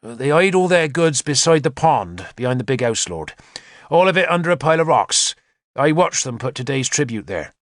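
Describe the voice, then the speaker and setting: A man's voice: medium pitch, very animated, moderate pace, no room sound, studio quality, lightly acted. A man voicing a cheerful, loyal spearman reporting eagerly in an audiobook story. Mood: gleeful.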